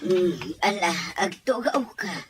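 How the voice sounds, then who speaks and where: A woman's voice: on the low side, lively intonation, slow pace, noisy background. A woman acting an older mother of grown children in an audio drama, earnest and reproachful, with a Filipino accent. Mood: gentle, motherly. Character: older Ilocano mother scolding and advising her grown children.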